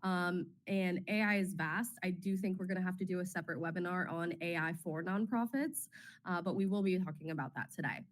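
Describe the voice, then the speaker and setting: A woman in her thirties hosting a webinar in a bubbly, chatty way, her voice slightly raspy as she gets over a cold. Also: fairly low pitch, fairly quick. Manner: bubbly, chatty, many ums. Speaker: webinar host, marketing officer. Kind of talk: casual presenting on a webinar.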